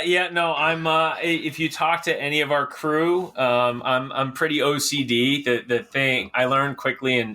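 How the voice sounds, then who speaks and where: Male podcast guest from Connecticut, bright forceful voice, casual and a little halting. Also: medium pitch. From Connecticut, USA. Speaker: podcast guest, race director. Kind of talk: casual interview chat.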